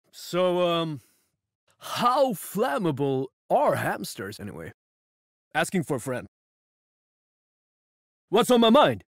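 A man's voice: high voice, fairly bright tone, no echo, clean studio sound, exaggerated voice. A man voicing a jittery, unhinged criminal bluffing as a guard in a video game, hesitant and oddly morbid. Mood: menacing. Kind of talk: game voice lines over radio.

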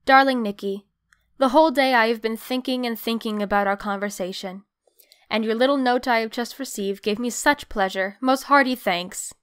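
An actress playing a devout, affectionate young grand duchess, reading a letter in an earnest, confiding way. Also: female voice, a little high, moderate pace, a bit bright, smooth, lightly acted. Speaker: actor in an audio drama. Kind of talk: dramatic reading of a personal letter.

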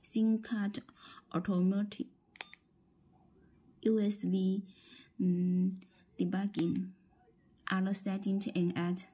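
A woman with a Chinese accent giving a halting, step-by-step instructional product demonstration, often repeating phrases. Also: mid-range voice, level tone, mellow, slightly rough, rough recording.

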